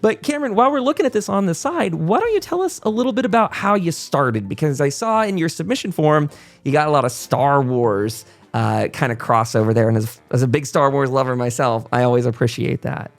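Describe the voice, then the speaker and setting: A man's voice: a little high, expressive, fairly quick, creaky. Chatty male podcast host. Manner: chatty, informal presenter talk. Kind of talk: casual podcast intro and interview.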